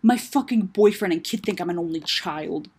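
A woman's voice: lowish voice, animated, normal speed, darkish tone, slightly rough. A woman voicing a brash, sarcastic, foul-mouthed young father who is hurt and guarded, in a story reading. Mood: angry, hurt. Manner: sarcastic, emphatic, swearing.

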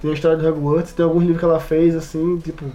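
A Brazilian man with a soft-edged, mellow tone and a fairly high pitch. He talks casually, as part of a podcast panel. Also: monotone, quick pace, muffled recording. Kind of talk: casual chat about tabletop role-playing.

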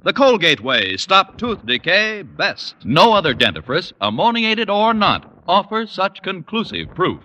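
Very animated male American radio announcer. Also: high voice, a little slow, few pauses. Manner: emphatic, punchy salesman delivery. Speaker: commercial announcer. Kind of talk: 1950s radio advertisement read. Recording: dull, noisy old radio recording.

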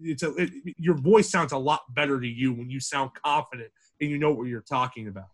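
A young man with a deep, projecting voice, a campus radio sports director talking casually as a podcast guest, with many restarts and fillers. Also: brisk. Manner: rambling with frequent restarts and fillers.

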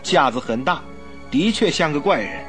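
An actor playing a polite, loyal doctor in a radio drama, deferential and earnest with an anxious edge. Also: male voice, a little high, very animated, normal speed, over music. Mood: anxious, puzzled. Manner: courteous, humble, earnest. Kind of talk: Mandarin radio drama acting.

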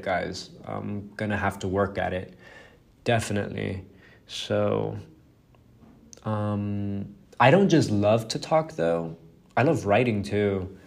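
A man's voice: lowish voice, creaky. A young man whose first language is Spanish, hosting a casual solo podcast, rambling and self-deprecating with lots of fillers and swearing. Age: young adult. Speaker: podcast host.

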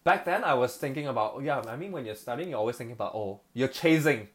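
A man's voice: mid-range voice, animated, fast, a bit bright. A young man from Malaysia talking casually about his choices, rambling with many fillers and restarts. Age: young adult. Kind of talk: casual conversation.

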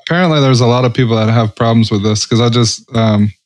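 A man's voice: medium pitch, quick pace, dry recording. A man with a mid-range voice talks casually as a podcast co-host. He explains things in a salty, colloquial way with mild exasperation. The recording is dry and free of room sound. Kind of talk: casual chat.

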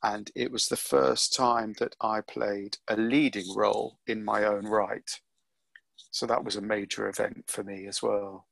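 A middle-aged man talks through his career in an interview, pausing on ums and circling back to finish his thoughts. His voice has a gravelly edge, and the recording is close and dry with no room sound. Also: on the low side, little pitch movement, fairly bright tone, dry recording. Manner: reflective, with many ums and restarts. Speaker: actor being interviewed. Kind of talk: relaxed interview answers.

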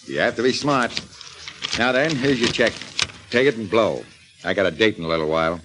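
An actor with an American accent playing a big, blustering, slangy racketeer in a 1940s radio drama. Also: male voice, lowish voice, fairly quick, over music, lightly acted. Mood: brusque, dismissive. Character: big beefy blustering male racketeer, evasive under pressure. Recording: noisy vintage radio recording with clicks.

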